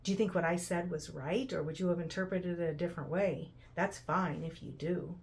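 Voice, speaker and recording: A woman narrating a picture book to children in an expressive, conversational way, using simple explanations and checking in with questions. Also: fairly low pitch, a little fast, slightly rough. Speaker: children's read-aloud host.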